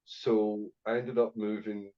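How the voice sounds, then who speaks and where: A Scottish man on a podcast, his voice sitting a little low. He rambles through his stories with fillers and frequent swearing. The audio is somewhat muffled and lo-fi. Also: level tone, slow, warm tone. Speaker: podcast guest, actor. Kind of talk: casual storytelling interview.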